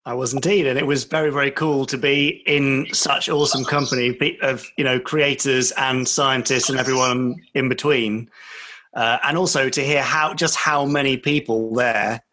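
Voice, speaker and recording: A man's voice: medium pitch, steady tone, normal speed, sharp tone, rough recording. A man hosting a casual interview, speaking in a polite, thoughtful way. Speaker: podcast host.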